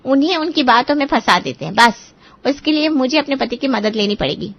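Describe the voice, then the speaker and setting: A woman's voice: sits high, animated, a little fast, lo-fi sound. A woman playing a blunt, scheming young female friend in a cartoon moral story.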